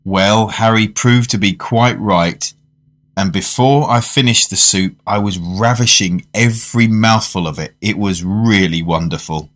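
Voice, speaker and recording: An actor playing a polite, curious gentleman diner in a radio drama, measured and formal in wording. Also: fairly low pitch. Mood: reflective. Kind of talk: radio drama narration and dialogue.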